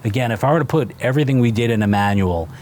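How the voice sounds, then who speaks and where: A man in his fifties from New Jersey, a restaurant founder talking casually in a fireside interview, halting with fillers and restarts. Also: mid-range voice, lively intonation, darkish tone, slight background noise. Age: 50s. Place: outdoors by a fire pit.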